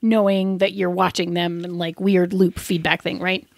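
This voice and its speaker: A woman's voice: lowish voice, flat delivery, moderate pace, gravelly, no room sound. A woman co-hosting a podcast in an informal, chatty, conversational way, close to the microphone. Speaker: podcast co-host.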